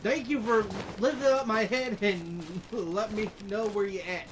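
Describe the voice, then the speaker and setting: A man's voice: high voice, fairly even intonation, unhurried, noisy background. A man doing casual gameplay commentary as a streamer. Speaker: gaming streamer.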